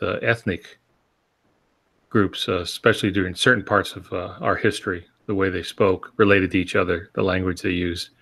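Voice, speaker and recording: A man co-hosting a podcast, explaining by example in a casual, halting, measured way. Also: low voice, vocal fry, a bit bright. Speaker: podcast co-host.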